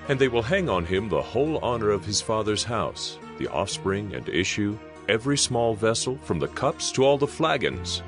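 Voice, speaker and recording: A man's voice: on the low side, very animated, normal speed, hardly any pauses, faint music behind. A man giving a solemn, declamatory dramatized narration of scripture. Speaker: audio Bible narrator.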